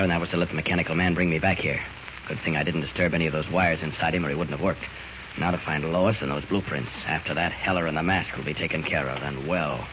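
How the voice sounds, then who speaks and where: Noisy dull vintage radio, confident superhero, clipped commands, brisk, bold adventure-serial acting. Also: male voice, a bass voice.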